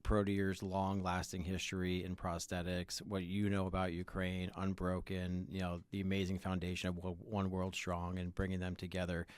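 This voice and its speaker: A man's voice: sits low, completely flat delivery, normal speed, hardly any pauses, smooth. A man hosting a company podcast, speaking with enthusiasm and often repeating his words. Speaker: podcast host.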